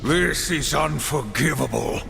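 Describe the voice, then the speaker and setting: A man's voice: fairly high pitch, unhurried, creaky. Grim horseman warrior, solemn, commanding, blunt, formal, video game cutscene, long reverb, prominent music. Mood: furious. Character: grim wrathful apocalyptic horseman warrior, stern and dutiful.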